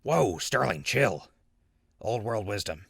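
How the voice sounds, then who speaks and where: A wry wasteland survivor, played by a man, speaks in a low, forceful voice. He is casual and a little skeptical, in a dry audio drama recording. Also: expressive, slow pace, gravelly, husky, no room sound, heavily acted. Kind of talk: post-apocalyptic audio drama acting.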